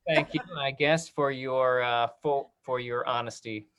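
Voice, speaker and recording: A man hosts a relaxed talk-back over a video call. His voice sits in a middle register. He is friendly and encouraging, often halting and restarting as he finds his words. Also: medium pitch, clear, resonant tone. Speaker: host and online programming director of a theater company.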